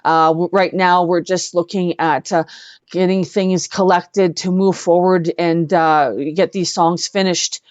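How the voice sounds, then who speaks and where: A woman's voice: lowish voice, flat delivery, unhurried, dry recording. A woman tells personal stories as a guest in a casual interview. Her manner is effusive and earnest, and she often repeats words for emphasis. The call audio is dull and dry, with little room sound. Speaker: interview guest, singer-songwriter. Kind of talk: casual chat, personal storytelling. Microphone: video call.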